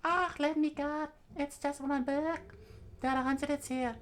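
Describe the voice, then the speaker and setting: A woman's voice: high-pitched, fairly even intonation, a little slow, pitched above the performer's own voice. A woman voicing a cheeky, defiant little girl with a whiny, pleading manner in an acted story.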